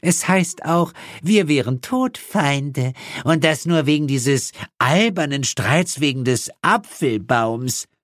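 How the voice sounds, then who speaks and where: A man puts on the matriarch of hell, a female demon pitched well up. She is prim, bossy and dismissive, with animated swoops, in a dry comic horror audiobook. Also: slow, dry recording. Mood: breezy. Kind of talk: horror-comedy audiobook character voice.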